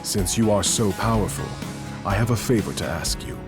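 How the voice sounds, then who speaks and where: Low-voiced wise martial arts master, acted by a man. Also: close to an everyday voice. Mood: approving, grave. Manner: measured, instructive. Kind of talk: video game cutscene acting. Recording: game music behind the voice.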